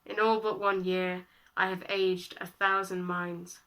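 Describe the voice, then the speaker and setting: A woman in her late teens performing spoken-word poetry, with dramatic, deliberate phrasing and repetition. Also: lowish voice, level tone, a bit bright, rough recording. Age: late teens, about eighteen. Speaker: slam poet. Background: music.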